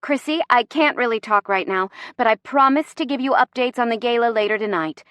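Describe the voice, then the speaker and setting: A woman's voice: a little high, fairly quick, a bit bright, dry recording, pitched above the performer's own voice, close to an everyday voice. A woman voicing an impulsive, emotional young woman, the heroine of a romance story, with emphatic delivery. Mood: harried. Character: impulsive, dramatic, apologetic young heroine in love.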